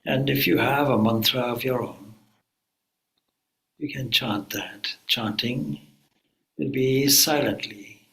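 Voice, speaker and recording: Slow, calm man guiding meditation. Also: mid-range voice, gravelly, rough recording. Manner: calm, unhurried, with long pauses. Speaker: swami leading meditation.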